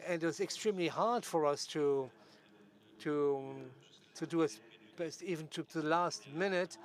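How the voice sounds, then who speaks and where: A man giving live interpretation of a debate, his phrasing halting and piecemeal. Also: fairly high pitch.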